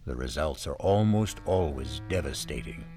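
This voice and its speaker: A man hosting a 1970s radio mystery show, introducing it in a grave, deliberate, theatrical way. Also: very deep voice, animated. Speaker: host. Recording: archival radio broadcast with music.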